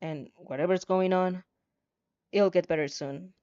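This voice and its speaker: A woman's voice: fairly low pitch, fairly even intonation, unhurried, warm tone, muffled recording, close to an everyday voice. A woman voicing a laconic, kindly grown man in a story, terse and understated. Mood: gruff, kindly. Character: gruff, laconic but kindly adult male teacher.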